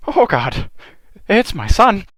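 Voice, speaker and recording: A man's voice: sits high, very animated, slow, a bit bright, dry recording, clean studio sound, heavily acted. A man voicing a flustered, awkward middle-aged father in a comic dub.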